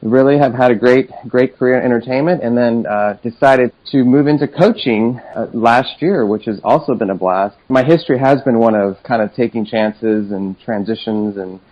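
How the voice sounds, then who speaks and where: A man's voice: mid-range voice, moderate pace, few pauses, highs cut off. A man in his forties, from Chile, a career coach guest telling his story upbeat and enthusiastically. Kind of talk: interview conversation.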